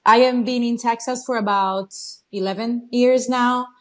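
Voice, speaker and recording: A woman's voice: on the high side, fairly even intonation, unhurried. A woman from Italy with an Italian accent, a native Italian speaker, talking eagerly as a guest in a casual interview, with many fillers and restarts. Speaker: guest, horse trainer.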